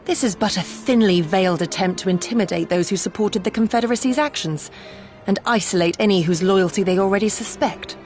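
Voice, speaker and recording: A woman's voice: medium pitch, fairly quick, a little mellow, faint music behind, natural voice. A woman playing a grave, resolute warrior retainer in a video game, with formal, archaic diction. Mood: grave, cautious. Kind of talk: video game cutscene acting.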